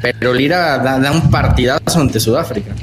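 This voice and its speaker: A man's voice: mid-range voice, normal speed, music in the background. A man giving opinions as a sports pundit in casual debate, insistent and repeating phrases for emphasis.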